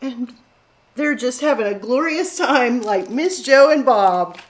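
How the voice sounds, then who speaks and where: A woman's voice: sits high, lively intonation, slow, rough recording. A woman hosting a children's story time, talking and reading aloud expressively to young listeners.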